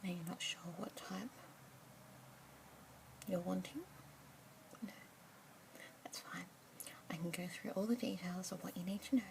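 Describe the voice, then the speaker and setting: Softly whispering Australian woman, gravelly edges. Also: on the low side, steady tone, unhurried, long pauses. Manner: soft breathy whisper with gentle murmured fillers. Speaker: ASMR creator playing a pet adoption specialist. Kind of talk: whispered ASMR roleplay. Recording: close whisper mic with clear background hiss.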